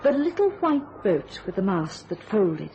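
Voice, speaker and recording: A woman's voice: mid-range voice, big pitch swings, unhurried, warm tone, dull audio, a little hiss or hum. A woman playing a cool, guarded and troubled wife in a radio thriller, well-spoken and restrained. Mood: haunted.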